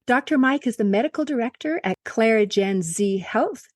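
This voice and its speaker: A woman's voice: fairly high pitch, lively intonation, normal speed, darkish tone, no echo, studio quality. A woman hosting a podcast, reading a scripted introduction and then asking questions in an enthusiastic, upbeat way. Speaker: podcast host.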